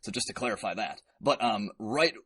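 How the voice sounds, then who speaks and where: A man's voice: on the low side, expressive, a little fast, fairly bright tone, breathy edge, no room sound, clean studio sound. A man speaking as a podcast guest, explaining history in an earnest, detailed way. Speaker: podcast guest, political commentator. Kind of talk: explanatory interview talk on history.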